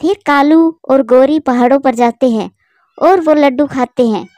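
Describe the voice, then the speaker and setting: A woman's voice: high voice, normal speed, no echo. Woman narrating a cartoon story. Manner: steady, plain storytelling.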